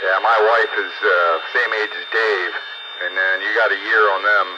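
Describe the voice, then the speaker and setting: A man's voice: lowish voice, steady tone, moderate pace, dull audio. A man of fifty-nine chatting casually in a plain-spoken, folksy way, as an amateur radio operator. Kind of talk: casual chat. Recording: noisy band-limited radio transmission.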